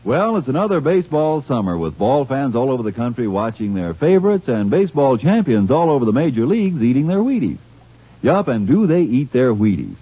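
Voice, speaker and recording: A man's voice: medium pitch, very animated, a little fast, slightly rough, no room sound, faint music behind. A man reading a 1950s radio commercial as announcer, with folksy, emphatic sales patter. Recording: dull, muffled vintage radio recording.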